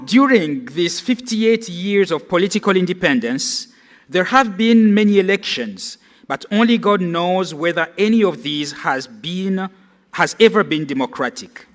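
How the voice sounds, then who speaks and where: A man in his forties from Cameroon reads his conference paper aloud. His voice sits high for a man, and his delivery is measured and formal. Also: expressive. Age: 40s. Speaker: conference speaker. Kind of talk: reading a prepared lecture.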